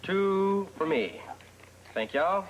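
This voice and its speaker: A man's voice: sits high, expressive, drawn-out words, background noise, heavily acted. An actor playing a friendly, sheepish man in a television soap, with casual, sometimes stammering delivery. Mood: playful. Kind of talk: television soap opera acting.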